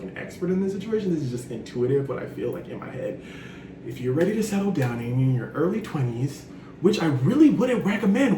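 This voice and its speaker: A young man in his twenties giving frank, animated advice in a casual monologue, swearing freely and throwing in sassy asides. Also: a little high. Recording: roomy echo, distant from mic, noisy.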